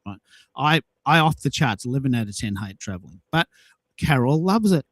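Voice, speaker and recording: A man's voice: medium pitch, sing-song, normal speed, a bit bright, no echo. A man hosting a casual audio chat, telling personal anecdotes in an emphatic way and repeating himself for effect. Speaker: host of a social audio show.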